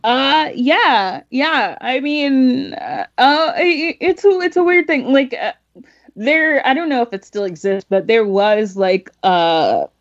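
A woman's voice: sits high, lively intonation, slow, lo-fi sound. A young woman, a podcast guest, talking casually about films in a rambling way full of fillers and laughs. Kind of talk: casual film discussion.

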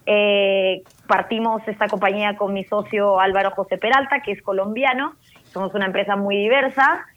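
A woman from northern Chile with a Chilean accent, a startup founder telling her story in a podcast interview, animated and slipping in English terms. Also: medium pitch, normal speed, phone-quality sound.

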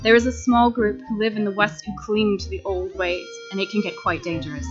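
A woman's voice: mid-range voice, steady tone, a little fast, soft background music, close to an everyday voice. An actress playing a scheming female president with grand, menacing delivery in an audio drama. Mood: calm. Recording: dull lo-fi audio.

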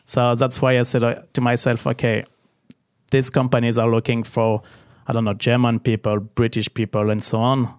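A man's voice: mid-range voice, level tone, normal speed, smooth, no echo, clean studio sound. A man in his thirties with a French accent, a native French speaker, talking as an interview guest and hesitating, restarting and repeating words. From Paris, France. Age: 30s. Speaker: guest, business founder. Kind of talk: casual interview.

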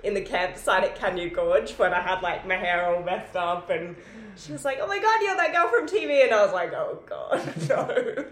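A loud, chatty woman hosting a podcast conversation, laughing often. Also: mid-range voice, expressive, moderate pace, a little hiss or hum. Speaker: podcast host. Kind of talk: casual podcast chat. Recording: roomy echoey room.

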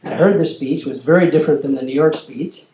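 A man's voice: a little high, quick pace, dark tone, roomy sound, slight background noise, low-quality recording. An older man giving a storytelling lecture, acting out the quoted exchanges as he talks. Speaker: lecturer, former corporate lobbyist. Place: lecture hall.

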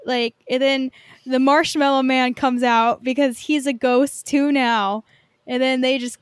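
Female co-host, higher register, unhurried, tentative casual chat, dry sound. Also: fairly even intonation, clean tone, no room sound. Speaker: podcast co-host.

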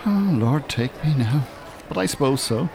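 Fussy, animated older Irish teacher. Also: male voice, mid-range voice, normal speed, creaky, slight background noise. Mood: awkward, polite. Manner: pedantic, dry, hesitant. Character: fussy, bitter older retired English teacher, well-spoken. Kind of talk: audio drama acting.

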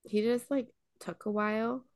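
A woman's voice: fairly high pitch, fairly even intonation, normal speed, a little mellow, no room sound, clean studio sound. A young woman co-hosting a casual podcast, telling stories between friends, full of "like" and "um," with a cold coming on. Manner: chatty storyteller, lots of "like" and "um". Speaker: podcast co-host.

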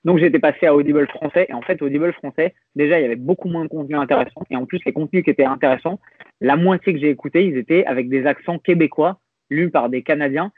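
Young man, slightly high voice, reflective and halting, thin phone-like sound. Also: breathy edge, dry recording. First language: French. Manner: reflective, hesitant with many restarts and fillers. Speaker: podcast guest. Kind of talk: casual conversational interview. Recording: dry, phone-like narrow sound.

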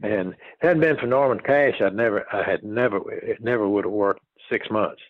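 A man in his eighties reminiscing in a casual interview, speaking in a folksy, halting way and repeating words and phrases as he goes. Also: lowish voice, phone-quality sound. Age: 80s. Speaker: interviewee, retired farm machinery dealer.

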